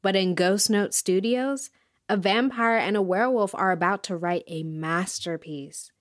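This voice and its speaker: Actress as woman podcast host, measured storytelling. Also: mid-range voice, dry recording, clean studio sound, natural voice. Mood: earnest, intrigued. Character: earnest woman music-documentary podcast host. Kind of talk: scripted narration.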